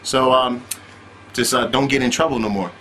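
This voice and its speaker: A man's voice: medium pitch, moderate pace, pushed and bright, slight background noise. A man telling his own story in casual, profane street slang, with "man" tossed in constantly. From United States.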